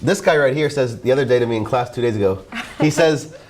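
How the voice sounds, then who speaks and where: A man's voice: mid-range voice, fast talker, a little mellow. A young man in his twenties, a science teacher, speaking encouragingly with frequent restarts and fillers. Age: 20s. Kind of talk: interview and classroom talk.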